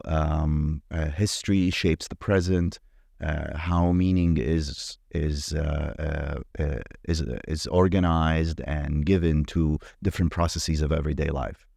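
A man's voice: very deep voice, fairly even intonation, unhurried, creaky, darkish tone, dry recording, clean studio sound. A middle-aged man from Iraq, a professor answering interview questions in a hesitant way, with many fillers and restarts. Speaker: guest, anthropology professor.

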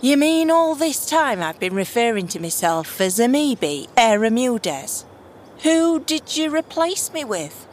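A woman's voice: on the high side, very animated, a little slow, slight background noise. A woman playing an irreverent exiled royal daughter in a comedic fantasy audio drama, flippant and sarcastic. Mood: affronted disbelief.

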